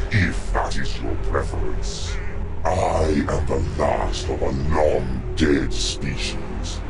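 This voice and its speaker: An actor playing a brutal, proud war god in a video game, with a gravelly, booming voice and a commanding, contemptuous manner. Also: male voice, exaggerated voice. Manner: commanding, contemptuous, slow and emphatic. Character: brutal, proud war god, last of an ancient warrior race. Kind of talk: video game villain voice acting. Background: music.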